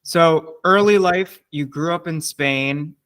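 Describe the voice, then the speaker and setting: Relaxed male podcast host. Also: a little high, takes it slowly, clear, resonant tone. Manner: relaxed, loose phrasing, laughs along. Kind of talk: casual podcast interview.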